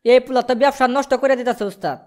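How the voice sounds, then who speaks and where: Cartoon sidekick acted out: whining sham beggar man, high-pitched, quick, pleading, Bangladeshi accent. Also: a little mellow, lightly acted. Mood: complaining. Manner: pleading, complaining. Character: whiny male fake beggar, a tattling sidekick.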